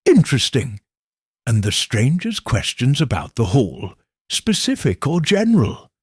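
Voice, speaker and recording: A shrewd old lady sleuth in a mystery audiobook, played by a male narrator. She muses calmly and questions quietly, in a husky voice with expressive pitch and no hurry. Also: a little slow, dry recording, clean studio sound, exaggerated voice. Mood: musing.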